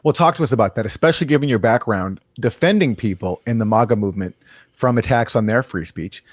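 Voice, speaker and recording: A man's voice: medium pitch, fast talker, no echo, clean studio sound. A man hosting a political talk show, introducing a guest and asking pointed questions in a conversational way. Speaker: show host. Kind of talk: political commentary interview.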